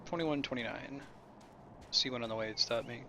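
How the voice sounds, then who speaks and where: A man's voice: mid-range voice, brisk, gravelly, noisy background. A man chatting casually as he plays a video game with friends. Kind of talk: casual gaming chat.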